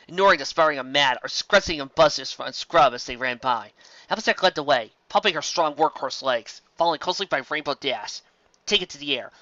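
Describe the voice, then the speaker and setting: Storyteller for fantasy fiction: sharp, forceful tone, dry and slightly dull home recording. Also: medium pitch, no echo. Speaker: storyteller reading fan fiction aloud. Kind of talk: dramatic scripted story narration.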